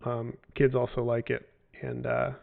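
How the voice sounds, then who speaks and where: 40ish guy rambling thru fan questions, lots of ums, gravelly voice, sounds like a phone. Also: medium pitch, monotone, unhurried. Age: 40s. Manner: rambling, hesitant with many fillers and restarts. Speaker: game developer answering fan questions. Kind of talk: casual livestream Q&A. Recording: tinny phone-like audio.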